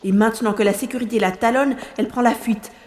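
A woman's voice: on the high side, steady tone, fast talker, darkish tone, close to an everyday voice. A woman playing an anxious young sleuth in an audio drama, narrating inner thoughts while sneaking around, full of exclamations and questions. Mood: suspicious. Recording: roomy long reverb, faint noise.

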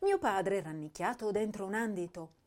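An actress playing a tender, wounded young woman poet, reciting verse with hushed pauses and emotional swells. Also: medium pitch, animated, natural voice. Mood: wistful, restless. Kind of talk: dramatic poetry recitation.

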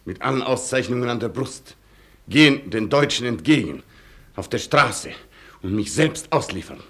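An actor playing a proud, wounded Polish colonel in a German radio play, solemn and given to impassioned declamation. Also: male voice, mid-range voice, expressive, normal speed, fairly bright tone. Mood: bitter, wounded.

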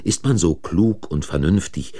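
A man's voice: deep voice, expressive, unhurried, warm tone, breathy edge, dry recording, studio quality, full character voice. A man playing an earnest, troubled confidant who narrates and schemes to help in a German radio crime drama, searching and urgent. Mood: reflective, troubled.

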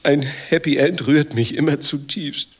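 An actor playing a timid, sentimental gentleman in a radio play, with a husky voice and fussy, nervous politeness. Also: a little high, measured pace. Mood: moved, solemn. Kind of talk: German radio play acting.

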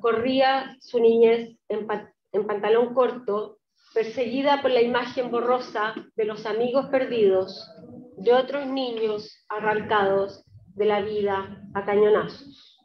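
A woman lecturer with a fairly high voice speaks slowly and haltingly as she presents and reads poetry to listeners. She is picked up from a distance in a roomy space. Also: fairly even intonation. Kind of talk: public talk with poem readings. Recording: roomy, distant, dull lo-fi pickup.

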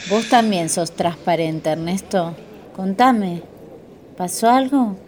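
A woman's voice: mid-range voice, expressive, slow pace, darkish tone, a little hiss or hum. An actress with an Argentine accent playing a candid young woman in a radio drama. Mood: caring, coaxing. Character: anxious, candid young actress torn over love, caring friend.

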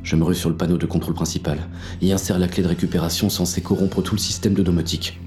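An actor playing a wary police inspector on a burglary, narrating in a terse, tense inner monologue for an audio drama. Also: male voice, deep voice, little pitch movement, fast talker, a little mellow, faint music behind, natural voice. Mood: alarmed.

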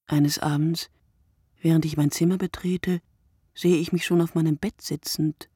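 Reflective poetic radio play narrator, bit low and husky, declaims slowly and deliberately. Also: female voice, steady tone, mellow. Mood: quiet, musing. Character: reflective, poetic female narrator musing on sleep and night.

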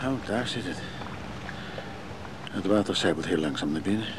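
An actor playing a gruff, guarded man who lives on a leaky boat, speaking colloquially in a naturalistic radio drama. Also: deep voice, expressive, moderate pace, very noisy. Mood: grumbling.

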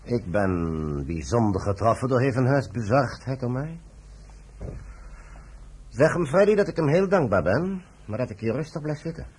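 Casting: stubborn older retired general, dry irony, very husky, widely swinging intonation, radio drama. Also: male voice, medium pitch, lively intonation, moderate pace, a little mellow. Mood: dryly defiant. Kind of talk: Dutch radio play acting, narrating and dialogue.

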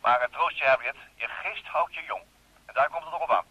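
An actor playing a wry, bantering detective in a radio play, familiar and teasing in manner. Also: male voice, a little high, fairly quick, bright, forceful tone, breathy edge, no room sound. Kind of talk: Dutch radio play acting. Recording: dry, slightly dull radio play recording.

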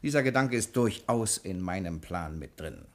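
An actor playing a cocky, quick-witted bank cashier in a 1960 radio crime drama, brisk, self-assured and commanding. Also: male voice, sits low, expressive. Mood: confident, brisk.

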